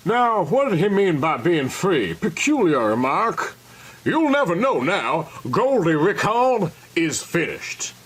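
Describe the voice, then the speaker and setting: Puzzled execution official, done by a male narrator: husky, slow, high-set, matter-of-fact. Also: very animated, fairly bright tone, full character voice. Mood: musing.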